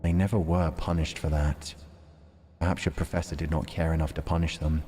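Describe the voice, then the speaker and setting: A man's voice: rumbling low, flat delivery, warm tone, a little hiss or hum. A man livestreaming a visual novel, reading it aloud as dramatic narration and chatting casually about it. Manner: measured, deliberate storytelling delivery when reading. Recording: long reverb, music behind. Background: music.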